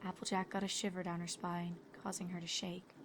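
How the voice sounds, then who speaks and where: A woman's voice: on the low side, flat delivery, a little fast, noisy background. A woman reading scripted story narration. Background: music.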